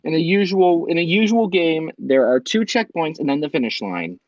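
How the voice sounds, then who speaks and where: A man hosting a quiz game show, reading questions and ruling on answers in a brisk, formal way. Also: a little high, expressive, no room sound, highs cut off. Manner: brisk, formal quizmaster delivery.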